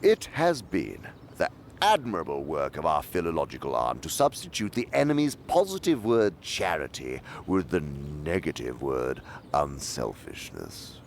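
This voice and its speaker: A man's voice: very deep voice, huge pitch swings, a little slow, creaky, slight background noise, heavily acted. A man playing a suave, pompous senior demon mentor in a radio drama, lecturing theatrically and with relish. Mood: sly, instructive.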